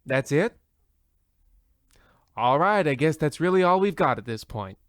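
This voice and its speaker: A man's voice: on the high side, animated, measured pace, a bit bright, clean tone, no room sound, clean studio sound. A man playing a wry, rambling host of an old-time radio mystery show, addressing listeners in a theatrical style. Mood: wry, bemused.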